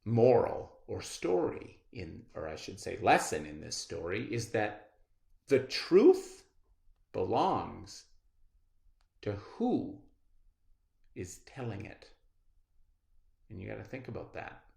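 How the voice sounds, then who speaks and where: A man leading a read-aloud lesson for children. His delivery is unhurried and extremely expressive, with rhetorical questions to keep listeners thinking. The room adds a clear echo. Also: on the low side. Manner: expressive, instructive, asks rhetorical questions with check-ins. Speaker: elementary school teacher. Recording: echoey room sound.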